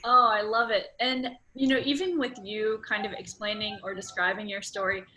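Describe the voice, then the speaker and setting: A woman's voice: mid-range voice, steady tone, normal speed, bright, forceful tone, low-quality recording. A woman interviewer talking in a casual, friendly and effusive way as she hosts a conversation. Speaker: interviewer, host. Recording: noticeable background noise.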